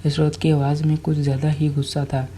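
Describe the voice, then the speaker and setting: A story narrator with a very dark, soft-edged voice reads a script in a level, little-varied tone. Words are sometimes stumbled on and repeated. There is faint noise behind the voice. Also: medium pitch, completely flat delivery, fairly quick. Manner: stumbles over and repeats words while reading.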